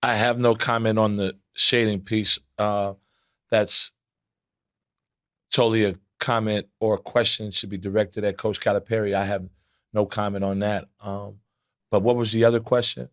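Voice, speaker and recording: A man, an assistant basketball coach with a loud voice, answering press questions earnestly, often restarting and repeating words. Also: lowish voice, level tone, moderate pace, dry recording, studio quality.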